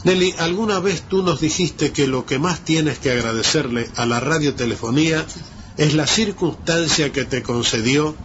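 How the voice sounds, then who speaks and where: Higher-voiced Uruguayan man, formal radio presenter. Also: normal speed, a bit bright. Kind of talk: scripted radio narration and interviewing. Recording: dull lo-fi radio recording with hiss.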